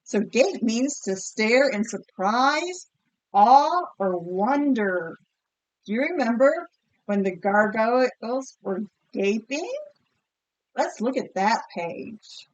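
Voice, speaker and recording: A woman's voice: fairly high pitch, expressive, takes it slowly, rough recording. A woman teacher leading a read-aloud vocabulary lesson with children, encouraging and questioning, enunciating words for pupils to repeat.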